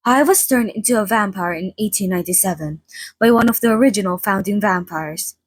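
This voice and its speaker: A woman voicing a playful, theatrical century-old female vampire in a chatty, mock-formal ASMR roleplay monologue, with a soft, breathy voice. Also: mid-range voice, brisk, dry recording, lightly acted. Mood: gossipy, wry. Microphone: close studio microphone, dry.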